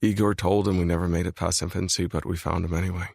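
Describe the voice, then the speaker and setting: A man voicing a grim gravelly mafia boss. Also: low voice, level tone, fast talker, a little mellow, dry recording, studio quality. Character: brooding male mafia boss, grim and controlled. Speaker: male lead voice in audiobook. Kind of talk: scripted audiobook reading.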